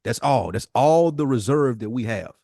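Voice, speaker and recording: A man's voice: mid-range voice, expressive, normal speed, gravelly, mellow, no room sound, clean studio sound. An American man in casual podcast chat, talking bluntly and emphatically with plenty of slang and swearing. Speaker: podcast co-host.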